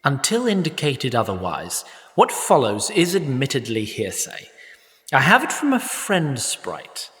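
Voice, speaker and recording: A man's voice: mid-range voice, huge pitch swings, unhurried, a bit bright, long reverb tail. A man narrating a comic fantasy audio drama in a wry, formal storytelling manner. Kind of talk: scripted narration.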